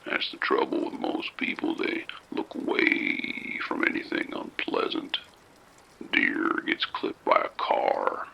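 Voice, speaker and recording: A man playing a calm, soft-spoken abductor in an audio drama, with a gravelly, whispery voice and a slow, coaxing manner. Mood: creepy whisper.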